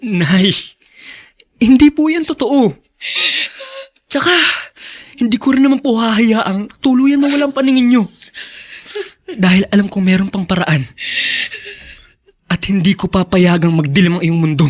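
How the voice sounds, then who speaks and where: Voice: mid-range voice, a little slow, long pauses, a little mellow, close to an everyday voice. A determined young adult played by an actor in a Filipino radio drama, devoted to a parent. The delivery is earnest, respectful and emotional. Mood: earnest, pleading. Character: devoted, determined young student child of ailing mother.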